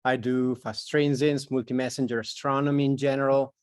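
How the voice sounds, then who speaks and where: Male lecturer, mid-pitched, casual self-introduction, very dry sound. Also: little pitch movement, fairly quick, darkish tone, clean tone, no room sound. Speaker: researcher and lecturer.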